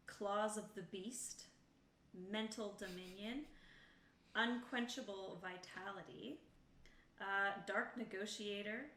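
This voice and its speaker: A woman's voice: medium pitch, pushed and bright, slight background noise. A woman playing a tabletop game, casually talking and reading out options. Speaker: tabletop role-playing player. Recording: echoey room, distant from microphone.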